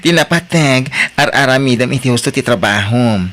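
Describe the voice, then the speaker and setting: Man acting a chatty, expressive Ilocano office friend. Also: medium pitch, moderate pace, close to an everyday voice. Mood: commiserating. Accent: Filipino, Ilocano. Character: chatty, nosy, supportive male office friend. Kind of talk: Ilocano audio drama acting.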